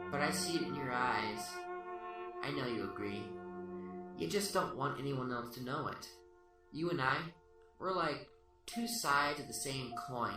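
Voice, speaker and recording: A man's voice: medium pitch, natural voice. A man acting a smug, taunting serial killer who philosophizes without remorse in an amateur radio play. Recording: roomy, distant-mic sound under loud music.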